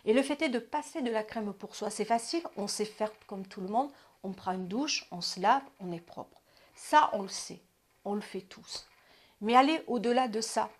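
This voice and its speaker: A woman in her fifties who hosts an advice channel and talks casually about self-care, often restarting her sentences and dropping into quiet asides. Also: medium pitch, lively intonation, moderate pace. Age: 50s. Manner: chatty, repeats and restarts, drops to asides.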